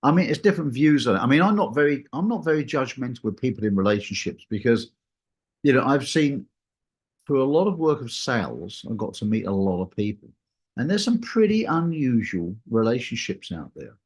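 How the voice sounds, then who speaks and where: A man talks casually in a discussion, his pitch swinging widely as he goes. He rambles through anecdotes and slips into reported dialogue. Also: very animated, brisk, darkish tone.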